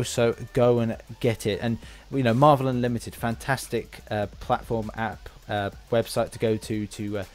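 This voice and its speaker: A man speaks as the host of an interview podcast. His voice sits at an average male pitch. He talks casually and informally, often halting as he puts a phrase together. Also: a little mellow. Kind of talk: casual interview chat with sign-off.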